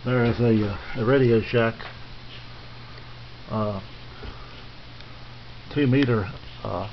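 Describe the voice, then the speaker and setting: A man with a mid-pitched voice talks casually and without hurry, as a hobbyist showing visitors around. His delivery is halting and plain-spoken. The sound is dull and lo-fi, with faint noise underneath. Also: gravelly. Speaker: amateur radio hobbyist giving a tour. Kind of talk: casual unscripted equipment tour. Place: small home radio shack, indoors.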